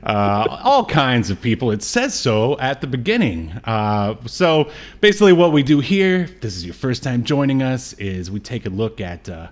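A man's voice: medium pitch, very animated, normal speed, few pauses. A middle-aged American man chatting casually as a pop-culture podcast host, rambling with wry asides. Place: small room at home, on a video call.

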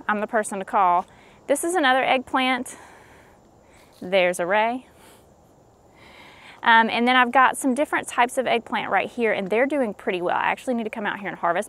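A woman in her thirties giving a casual, upbeat walking tour of her home garden outdoors, talking into a handheld camera with a small mic close to her face and often pausing on an "um". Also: medium pitch, a bit bright. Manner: chatty, upbeat, self-deprecating, lots of ums. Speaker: gardening vlogger. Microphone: handheld camera with small mic held close.

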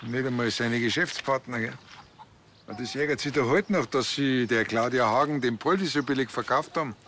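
A man's voice: mid-range voice, normal speed, a bit bright, a little hiss or hum, natural voice. Chatty Bavarian village policeman played by a man. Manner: chatty, colloquial.

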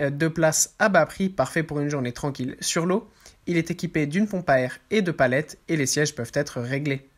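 Guy doing a product countdown voiceover, slightly higher voice, reads it pretty steady w/ a few ums. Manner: steady reading with occasional hesitations. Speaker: product review host. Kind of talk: read product review voice-over. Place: voice-over, not the pictured scenes.

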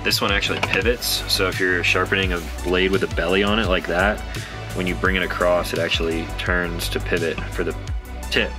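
A man's voice: deep voice, level tone, a little fast, over music. A man in his thirties giving a casual hands-on explanation of camping gear inside a van. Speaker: camping companion demonstrating gear.

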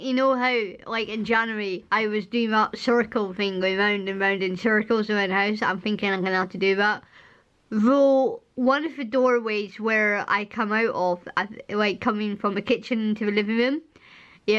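A young Scottish woman with a strained, hoarse voice, chatting in a rambling home vlog and laughing often, filmed at arm's length in her living room. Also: mid-range voice, moderate pace, a bit bright, dull audio. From Scotland. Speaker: vlogger. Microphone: camera at arm's length.

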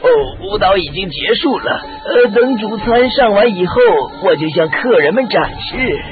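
A man's voice: high-pitched, expressive, moderate pace, phone-quality sound. A man acting in a radio drama as a polite, fussy event staffer who speaks hesitantly. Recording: band-limited audio, faint music.

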